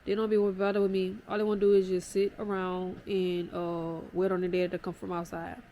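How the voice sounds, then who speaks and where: A woman's voice: on the low side, little pitch movement, a little fast, mellow, a little hiss or hum. Stuffy-nosed woman chatting to her phone. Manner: chatty, rambling, addresses viewers as y'all. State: stuffy nose from a cold. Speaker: vlogger. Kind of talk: casual vlog chat. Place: at home.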